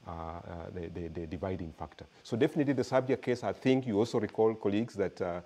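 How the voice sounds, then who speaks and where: A Kenyan man speaks as a guest lecturer, measured and unhurried, often repeating a word while he finds the next. His voice sits low and sounds mellow and relaxed. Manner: measured, repeats words while searching for them.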